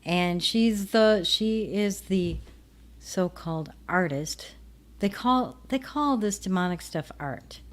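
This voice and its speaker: A woman with a Minnesotan accent hosts a commentary show, speaking directly to her viewers at a slow, easy pace. She rambles, restarts and lets her sentences trail off. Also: medium pitch. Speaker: show host.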